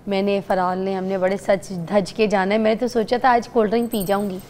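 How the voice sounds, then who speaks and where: A woman's voice: medium pitch, level tone, brisk, darkish tone, a little hiss or hum. A woman from Pakistan hosting a morning show in casual studio chat, playful and teasing, her throat sore.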